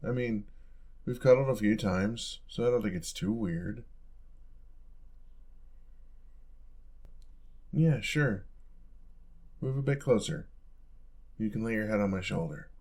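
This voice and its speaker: A man's voice: medium pitch, darkish tone. A young man playing a caring, teasing college roommate in an intimate, casual audio roleplay.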